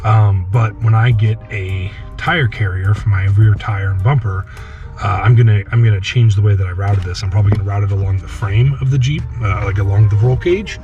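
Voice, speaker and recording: A man's voice: fairly low pitch, fairly quick, lo-fi sound, over music. A man giving an informal hands-on installation walkthrough, explaining it step by step. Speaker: off-road vlogger showing an install. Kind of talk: casual hands-on DIY walkthrough. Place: at a Jeep, working on it.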